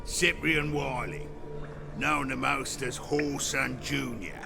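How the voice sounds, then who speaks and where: A man's voice: mid-range voice, fairly even intonation, slow pace, vocal fry, over music. An actor playing a swaggering, foul-mouthed gangster henchman in a video game, with a mocking, theatrical way of talking.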